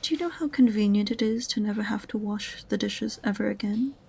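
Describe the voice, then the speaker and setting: A woman's voice: mid-range voice, brisk, creaky, a little mellow, slightly rough. A woman playing an aloof, sarcastic witch librarian in a fantasy audio roleplay, prim and condescending in a dry way. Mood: exasperated, dismissive. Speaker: voice actor in amateur audio roleplay.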